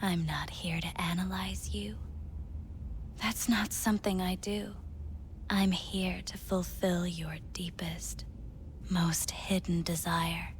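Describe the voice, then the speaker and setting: An actress playing a calm, probing female android companion in a game cutscene, speaking in a soft, breathy half-whisper. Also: fairly low pitch, takes it slowly, slight background noise. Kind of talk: video game cutscene acting.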